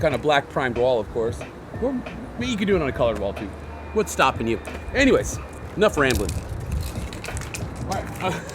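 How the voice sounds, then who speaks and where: Man, hoarse, slightly high voice, casual slangy rambling, graffiti tutorial host, outdoors, hip hop beats behind. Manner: laid-back, rambling, slangy. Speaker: graffiti artist and channel host. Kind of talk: casual how-to chat while painting. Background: hip hop backing beats.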